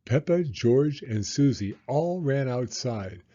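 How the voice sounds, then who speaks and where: A man reads a children's storybook aloud at an unhurried pace with very lively, expressive swings in pitch. The sound is slightly dull. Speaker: storybook reader.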